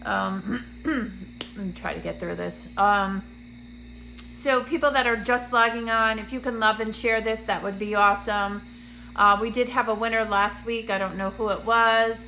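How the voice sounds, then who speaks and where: A bright-voiced woman chats on a phone live stream from a dim room, greeting viewers by name between plenty of ums. She sounds congested and a touch raspy, with a faint hum behind her. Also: mid-range voice, fairly even intonation, unhurried, rough recording. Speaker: medium hosting a live stream. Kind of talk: casual livestream chat to viewers. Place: dim indoor room, seated on a couch. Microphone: phone camera at arm's length.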